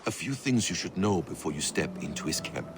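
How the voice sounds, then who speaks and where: A man playing a calm, secretive master assassin in a video game, speaking in a measured, cryptic, instructive way. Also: low-pitched, background noise. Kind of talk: video game dramatic acting.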